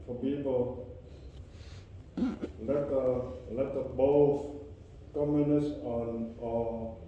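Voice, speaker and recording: Older Vietnamese man, halting broken English. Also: mid-range voice, warm tone, slight background noise. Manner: halting, repeats and restarts words in broken English. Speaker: refugee telling his story. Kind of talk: personal testimony to an audience. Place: room with listeners. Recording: dull, roomy sound from a distance.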